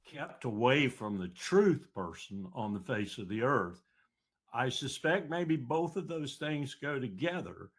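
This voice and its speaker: A man's voice: mid-range voice, lively intonation, slow pace, husky. A man speaking as an interview guest, giving political commentary.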